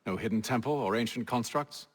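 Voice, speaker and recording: An actor playing a cool, purposeful gentleman leader in a period video game, with a clipped, formal, probing manner. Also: medium pitch, a little fast, natural voice. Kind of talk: video game cutscene acting.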